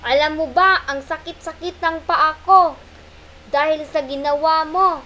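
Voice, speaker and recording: Woman playing a greedy monkey, high-pitched, blustering, mean, whiny when stuck, amateur puppet show, some background noise. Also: fairly even intonation, unhurried, bright, forceful tone. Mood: angry, menacing. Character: tricky greedy male monkey, mean and threatening.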